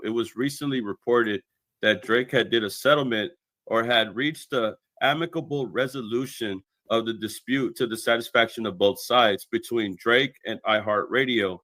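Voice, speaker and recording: A man's voice: fairly low pitch, normal speed, fairly bright tone, no echo. A man delivering scripted explanatory commentary in a methodical, expository way as a video commentator.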